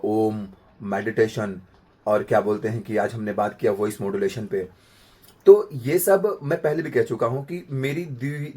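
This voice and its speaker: A man with a slightly husky voice, giving an informal acting lesson in an emphatic way, repeating phrases and checking in with rhetorical questions. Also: mid-range voice, a little mellow. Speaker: acting coach.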